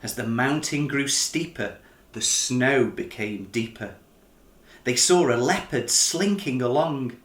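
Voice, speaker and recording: A man narrating a children's picture book in an expressive storytelling style, as the author reading aloud. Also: mid-range voice, slow pace, echoey room.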